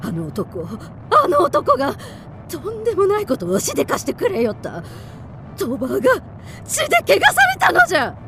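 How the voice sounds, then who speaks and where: A woman's voice: extremely high pitch, wildly swooping pitch, moderate pace, fairly bright tone, slight background noise, exaggerated voice. A woman playing an ancient, imperious demon mother who speaks like an old crone in archaic, commanding phrases in a radio drama. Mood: frantic, alarmed.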